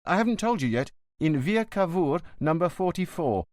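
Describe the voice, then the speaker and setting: A frightened, pleading son, as a male narrator voices him, pitched higher than the reader's own voice and lively in tone. He sounds anxious, his words near cracking. The sound is close and dry, as in a read-aloud crime story. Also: normal speed, no room sound. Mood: alarmed. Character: anxious worried grown son, middle-aged man. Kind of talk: audiobook character voice.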